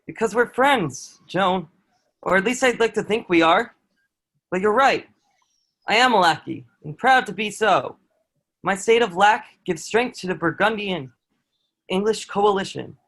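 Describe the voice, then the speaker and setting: High-voiced man acting a proud, dutiful soldier. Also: expressive, a little slow, a bit bright, heavily acted. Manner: earnest, formal archaic phrasing. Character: Burgundian soldier, Joan's captor, proud dutiful lackey, secretly awed. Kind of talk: table-read stage acting of historical drama.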